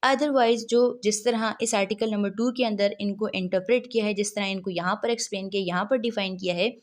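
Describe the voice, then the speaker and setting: A woman giving a law lecture, asking herself questions and then answering them, and reading English legal text out slowly. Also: medium pitch, steady tone. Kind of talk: recorded lecture.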